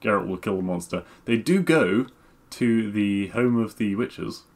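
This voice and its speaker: Low-voiced man in casual podcast chat. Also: animated, a little slow, darkish tone. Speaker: podcast co-host.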